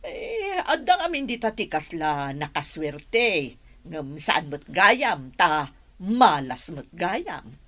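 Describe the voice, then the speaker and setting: A woman with a Filipino accent playing a gossipy, fretting older village mother with a husky voice in an audio drama. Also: fairly low pitch, sing-song, slow, pushed and bright, exaggerated voice. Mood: wailing, bitter.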